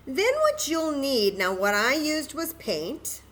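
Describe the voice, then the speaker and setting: A woman's voice: sits high, very animated, slow pace, fairly bright tone. A woman hosting a children's story time, patiently and encouragingly demonstrating a craft step by step in simple, casual words.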